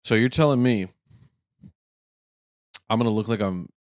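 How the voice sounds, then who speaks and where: A man in his late twenties with a lowish voice, recorded dry and close. He rambles through his thoughts as a channel host, circling back with fillers. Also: normal speed, a little mellow, dry recording. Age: 20s. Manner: rambling, thinks aloud with fillers. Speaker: channel host reacting to clips. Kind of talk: casual commentary.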